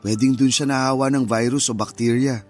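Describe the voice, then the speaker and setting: An actor as calm, reassuring young husband. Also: male voice, mid-range voice, a little fast, soft background music, natural voice. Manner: patient, explanatory. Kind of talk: radio drama dialogue.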